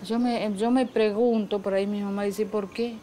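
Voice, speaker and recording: A middle-aged woman, recalling her life conversationally and haltingly, searching for words. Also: medium pitch, fairly even intonation, warm tone, a little hiss or hum. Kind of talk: conversational personal recollection. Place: leafy patio, outdoors.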